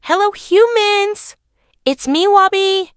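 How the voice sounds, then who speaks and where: A woman's voice: very high voice, slow, very noisy. A woman voicing a bubbly little puppet character greeting viewers. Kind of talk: puppet show promo.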